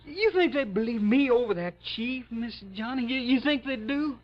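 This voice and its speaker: An actor in a vintage American radio drama playing a frightened, uneducated young man who pleads haltingly in broken, repetitive dialect. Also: mid-range voice, lively intonation, lightly acted. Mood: anxious pleading. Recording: muffled old radio drama recording.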